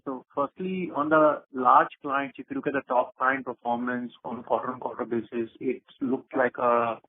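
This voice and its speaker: Guy analyst dialing into a call w/ questions, average pitch, phone quality. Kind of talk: spontaneous business questions. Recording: narrow, tinny phone line.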